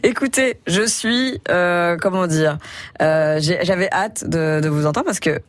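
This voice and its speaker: A woman's voice: lowish voice, unhurried, no room sound. A woman hosting a radio show, talking casually with eager hesitations. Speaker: radio host.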